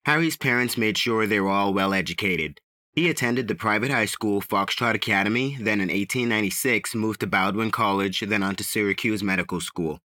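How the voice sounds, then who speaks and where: A man with a fairly low voice narrates as a podcast host. He reads a scripted true-crime story in measured phrases, with clear pauses. The sound is dry and close to the microphone. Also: bright, forceful tone, dry recording. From the Bahamas.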